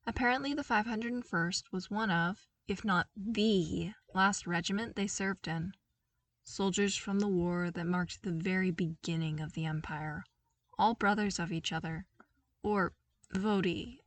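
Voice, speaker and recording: A woman reading a scripted story aloud as an expressive narrator. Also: lowish voice, unhurried, distant mic. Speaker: fan fiction reader.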